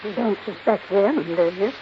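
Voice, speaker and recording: A woman's voice: mid-range voice, normal speed, close to an everyday voice. An actress playing a blind, wealthy old woman in a radio drama, blunt, demanding and cantankerous. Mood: dry, bossy. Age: older. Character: blind, crotchety, bossy old wealthy matriarch. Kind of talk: 1950s radio drama acting. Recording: noisy, muffled old 1950s radio recording.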